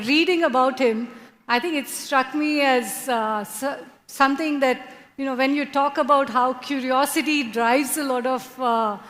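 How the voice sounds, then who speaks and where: High-voiced woman hosting a panel. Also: long reverb tail. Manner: warm, hesitant, many fillers. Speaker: host. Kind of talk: conference panel interview. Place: conference hall.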